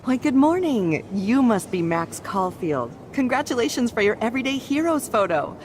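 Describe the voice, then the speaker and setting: Casting: courteous, effusive gallery guest for a game. Higher register, animated intonation, formal politeness. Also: female voice, moderate pace, a little hiss or hum. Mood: gracious, complimentary. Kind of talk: video game dialogue.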